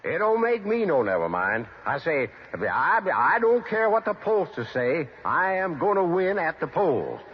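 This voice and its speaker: A man's voice: a little high, big pitch swings, a little slow, few pauses, slightly rough, pitched above the performer's own voice, full character voice. A man voicing a blustering, stubborn old American politician who pompously repeats himself in a radio sketch. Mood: blustering, defiant. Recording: dull old radio recording.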